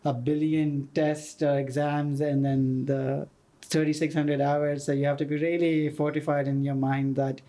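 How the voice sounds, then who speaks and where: Pakistani-born architect guy, warm mellow voice, talks it through calmly. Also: fairly high pitch, a little slow. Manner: thoughtful, fluent storyteller. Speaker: guest architect. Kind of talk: casual interview talk.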